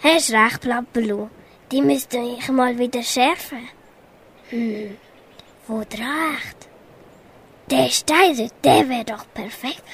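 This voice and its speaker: A vain, dramatic lion, acted by a teenage girl in an amateur radio play. The voice is very high and takes its time, with theatrical self-pity and a Swiss German accent. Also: slight background noise. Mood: musing. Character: vain, dramatic male lion proud of his mane.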